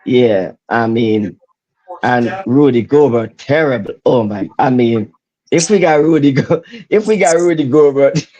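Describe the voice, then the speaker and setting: A man's voice: mid-range voice, expressive, a little slow, dark tone, highs cut off. A man hosting a casual chat, teasing his guests with slangy jokes and laughing. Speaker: host.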